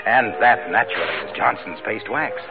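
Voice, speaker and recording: A man's voice: lowish voice, normal speed, creaky, over music. An American man playing an eager, chummy salesman with polished patter in a 1940s radio comedy. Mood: selling. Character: eager, chummy salesman always pitching his product. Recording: thin, tinny old radio recording.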